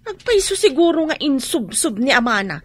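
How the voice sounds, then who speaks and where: An actress playing a nosy, gossiping village storekeeper with an exclamatory manner, in a radio drama. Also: female voice, high-pitched, sing-song, normal speed. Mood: gossipy.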